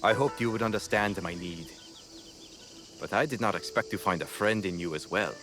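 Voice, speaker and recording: An actor playing a courteous, dignified samurai lord speaking formally in a video game drama. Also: male voice, on the low side, normal speed, music in the background, natural voice. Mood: grateful, formal.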